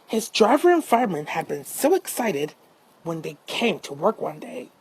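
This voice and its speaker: A woman's voice: mid-range voice, sing-song, moderate pace, a little mellow, slightly rough, poor audio. A woman narrating a children's storybook as an amateur reader, now and then stumbling over her words.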